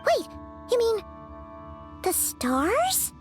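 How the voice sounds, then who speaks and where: Squeaky, childlike little fairy companion. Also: female voice, huge pitch swings, extremely slow, music in the background, full character voice. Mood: surprised. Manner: exclamatory, hesitant when flustered. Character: small childlike female fairy companion, excitable, naive, chatty. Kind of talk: video game character acting.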